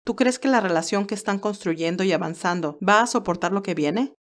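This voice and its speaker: A woman's voice: mid-range voice, brisk, close to an everyday voice. A woman voicing a stern, protective older male advisor in an audio drama, speaking in an earnest, admonishing way.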